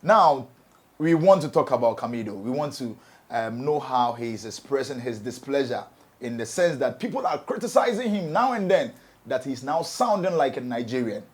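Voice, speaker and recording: A man's voice: medium pitch, big pitch swings, moderate pace, slightly rough. A man with a Ghanaian accent hosting an entertainment talk show and presenting music news. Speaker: show host and MC.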